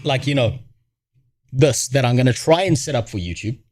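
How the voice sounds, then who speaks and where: A man's voice: mid-range voice, animated, normal speed, a little mellow. A man hosting a stream, giving a blunt, profane, rambling reaction commentary. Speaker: streamer host.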